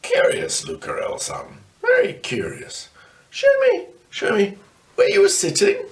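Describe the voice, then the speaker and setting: A man's voice: very high voice, huge pitch swings, measured pace, echoey room, pitched above the performer's own voice, heavily acted. An older man voicing a big, puzzled and curious old grandfather in a children's story, musing and repeating phrases. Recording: distant microphone.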